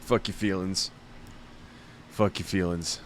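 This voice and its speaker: A man hosting a podcast in casual chat, excitable and quick to swear and laugh. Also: sits low, a little hiss or hum. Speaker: podcast host.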